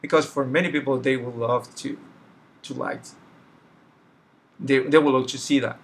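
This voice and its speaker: A man's voice: mid-range voice, fairly even intonation, moderate pace. A middle-aged man with a Spanish accent, a native Spanish speaker, chatting casually while sketching on a live stream. He searches for words, with many fillers and restarts. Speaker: artist streaming a drawing session. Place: small home room, indoors.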